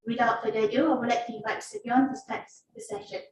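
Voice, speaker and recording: A woman's voice: on the high side, very animated, fast talker, slight background noise. Woman moderator introducing a speaker, very echoey. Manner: reads a prepared introduction. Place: lecture room. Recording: very echoey hall, from far off.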